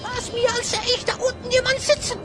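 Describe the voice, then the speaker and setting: A woman's voice: very high-pitched, level tone, fast. A woman playing a cowardly, fawning hyena spy in an audio drama, whining in singsong rhymes. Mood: sly, fawning. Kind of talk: German audio drama acting. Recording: loud music behind the voice.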